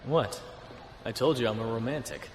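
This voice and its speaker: An actor playing a calm, thoughtful male starship captain, measured and understated, in a sci-fi audio drama. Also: medium pitch, slight background noise, lightly acted. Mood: reflective.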